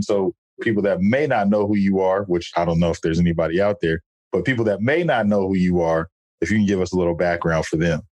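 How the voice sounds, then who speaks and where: A man's voice: low voice, darkish tone, dry recording. A man hosting an interview podcast, chatting in an upbeat, friendly and loose way. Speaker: podcast host. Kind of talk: casual interview chat.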